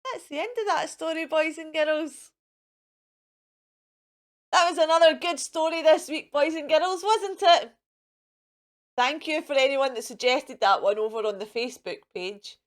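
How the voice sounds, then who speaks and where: A woman's voice: high-pitched, measured pace, long pauses. A woman hosting a children's storytime, reading a picture book aloud in a theatrical, sing-song way and speaking directly to the children.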